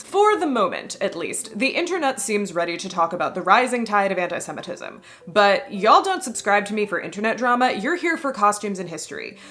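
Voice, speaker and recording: A woman's voice: medium pitch, fairly bright tone. A woman, a history and costume video creator, reading a scripted video essay in a wry voice with playful emphatic asides.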